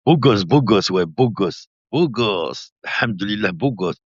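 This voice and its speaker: Man, 50s, live-stream host, casual rambling chat, very low voice, muffled lo-fi dry sound. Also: measured pace, husky, no echo. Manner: chatty, addresses viewers as brother; laughing often, relaxed rambling.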